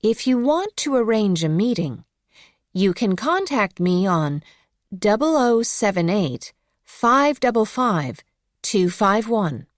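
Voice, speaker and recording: A woman voice artist reads example phone messages for learners. She takes her time over each phrase with careful enunciation and expressive intonation, in a very dry studio recording. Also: mid-range voice, slow pace, no echo. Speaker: English course voice artist.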